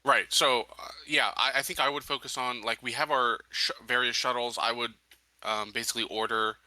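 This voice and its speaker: A man playing a tabletop game, talking casually and haltingly as he thinks his plans aloud. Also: mid-range voice, fairly even intonation, bright, forceful tone, no echo, low-quality recording. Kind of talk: casual game planning talk.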